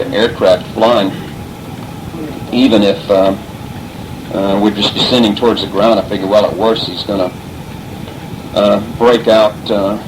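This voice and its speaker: Low-voiced American man on noisy tape. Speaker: air traffic controller. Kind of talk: informal spoken recap of an incident. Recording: clearly noisy tape recording.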